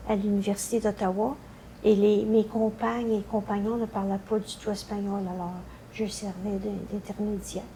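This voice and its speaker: An older woman with a Quebec French accent recounting memories in a halting way, searching for her words. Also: medium pitch, mellow, slight background noise, poor audio. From Canada. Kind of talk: unscripted personal recollection.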